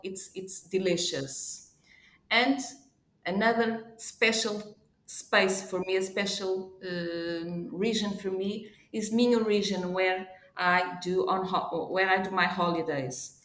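A woman with a Portuguese accent and a medium-pitched voice, recorded with noticeable room echo. As an interview guest, she phrases things carefully and searches for words. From Portugal, born in Africa. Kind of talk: promotional interview answer. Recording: echoey room sound.

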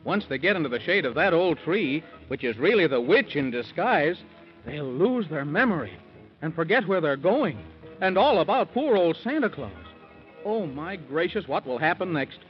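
A man's voice: fairly high pitch, sing-song, normal speed. A man narrating a 1930s children's radio serial, theatrical and exclamatory, speaking straight to young listeners. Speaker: story narrator. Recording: muffled 1930s radio recording. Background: music.

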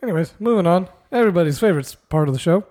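A man's voice: high-pitched, animated, very fast, warm tone. A man co-hosting a casual podcast, sounding sleepy and joking around, trailing off with "anyways". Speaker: podcast co-host presenting the episode.

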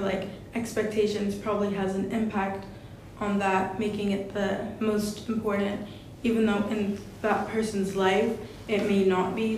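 A teenage female student giving her opinion casually and hesitantly in a discussion. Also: a little mellow. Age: teens. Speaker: school student. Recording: very echoey room, faint rumble.

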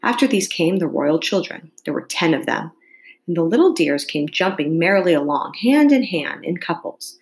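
A woman's voice: on the low side, big pitch swings, normal speed. A woman reading a storybook aloud to students like a teacher, carefully and with expression.

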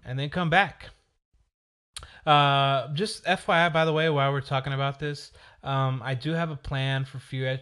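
Raspy-voiced man chatting casually. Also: medium pitch. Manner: rambling, chatty, self-correcting. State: raspy from a cold. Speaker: podcast host. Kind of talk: casual solo chat.

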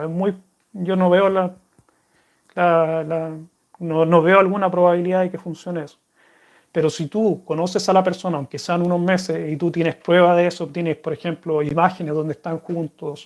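Chilean guy vlogging, kinda high voice, restarts a lot, friendly rambly chat. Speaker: vlogger answering viewer questions. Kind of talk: casual chat to camera.

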